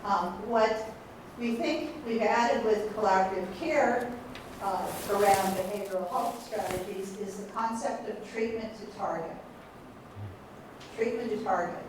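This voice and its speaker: A woman adding emphatic expert remarks at a training session, with a big, loud voice. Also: medium pitch, a little slow. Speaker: expert panelist. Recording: echoey room, mic far off, noisy.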